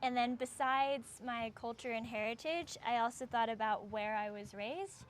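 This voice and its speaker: A young woman with an American accent explains things in a casual, conversational way. Her voice sits fairly high, and she leans on informal softeners like "sort of". There is noticeable ambient noise behind her. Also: normal speed. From United States. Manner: informal, frequent 'like' and 'sort of'. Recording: clear background noise, ambient sound.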